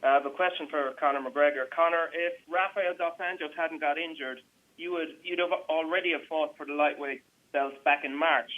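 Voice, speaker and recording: A man's voice: fairly high pitch, steady tone, normal speed. A man working as a reporter, putting questions to fighters over a phone conference call. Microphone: telephone. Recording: dull radio-like phone line.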